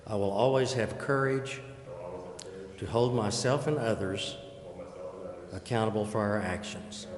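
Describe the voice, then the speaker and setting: A man with a fairly low voice recites a formal oath in short, measured phrases. His delivery is solemn and deliberate. The sound rings with long reverb in an indoor chamber. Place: indoor city council chamber.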